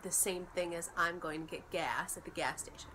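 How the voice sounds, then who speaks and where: A woman's voice: lowish voice, fairly even intonation, normal speed, noisy background. A young woman with a congested voice from a cold, chatting and explaining in a casual vlog. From United States. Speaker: vlogger. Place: bedroom at home.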